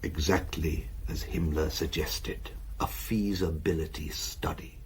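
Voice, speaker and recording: An actor in a radio drama playing a weary, cynical German admiral and spymaster who talks in a sardonic, confiding way. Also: male voice, very deep voice, fairly even intonation, measured pace, slight background noise. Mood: wry, weary.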